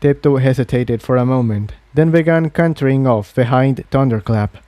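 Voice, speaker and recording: A man's voice: mid-range voice, normal speed, dark tone, no room sound. A man reading a fantasy adventure story aloud as a scripted narrator. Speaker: narrator, fan fiction reader.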